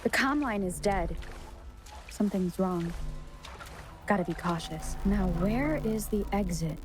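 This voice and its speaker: A woman's voice: medium pitch, lively intonation, measured pace, music in the background, lightly acted. A woman voicing a wary, self-possessed young adventurer in a video game, musing as if to herself. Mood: wary, puzzled. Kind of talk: English video game voice acting.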